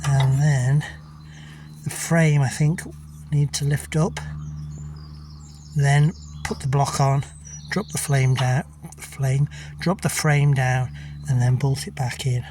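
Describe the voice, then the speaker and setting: A man's voice: mid-range voice, background noise. Chesty man talking through a repair. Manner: thinking aloud, hesitant with frequent ums. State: a bit chesty after illness. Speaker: farmer and video maker. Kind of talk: casual talk-through of a repair.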